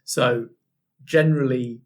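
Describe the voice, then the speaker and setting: A man explaining his work as a game master in casual conversation, halting and measured as he picks his words. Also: mid-range voice, fairly even intonation. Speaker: game master and podcast guest.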